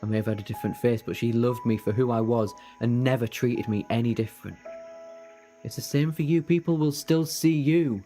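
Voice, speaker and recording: A man's voice: mid-range voice, brisk, warm tone, soft background music, close to an everyday voice. A man playing an eager, ageless time-travelling adventurer in an audio drama, earnest and persuasive with flippant asides. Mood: wistful, confessional. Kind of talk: fan audio drama acting.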